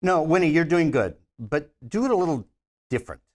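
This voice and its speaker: Man playing a demanding film director, swooping pitch. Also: very animated, fairly quick, darkish tone. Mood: bossy. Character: bubbly mid-sixties perfectionist film director, demanding and exasperated. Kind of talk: table read of a comedy screenplay.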